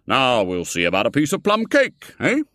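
A booming, jovial old gentleman, as a male narrator puts him on, loud and lively in pitch. He talks heartily and genially. The sound is dry. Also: normal speed, no room sound.

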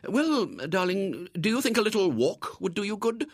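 A man voicing a fond, anxious mother who speaks in a gentle, coaxing way. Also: sits high, very animated, normal speed, no room sound, clean studio sound, pitched above the performer's own voice, full character voice.

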